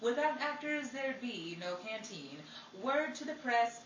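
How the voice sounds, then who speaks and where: Theatrical woman radio announcer, highish voice. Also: expressive, unhurried. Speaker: host and announcer. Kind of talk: scripted 1940s radio gossip and news narration. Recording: roomy, distant recording with background noise.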